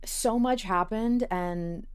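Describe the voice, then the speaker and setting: A woman's voice: mid-range voice, slow pace, clean tone. A woman co-hosting a podcast in casual chat, emphatic and informal. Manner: emphatic, casual, swears freely. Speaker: podcast co-host.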